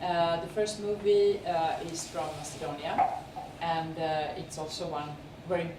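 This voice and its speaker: A woman with a Serbian accent hosting a film festival, speaking unscripted and haltingly as she searches for words. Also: fairly low pitch, level tone. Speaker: film festival host introducing films and guests. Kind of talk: unscripted spoken introduction and interview. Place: echoey screening venue. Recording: echoey, noisy room, far from mic.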